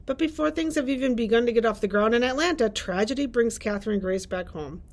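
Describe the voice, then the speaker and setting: A woman's voice: on the high side, quick pace. A woman librarian co-hosting a book talk, reading prepared summaries aloud and chatting lightly. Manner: reads prepared blurbs aloud. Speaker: librarian host.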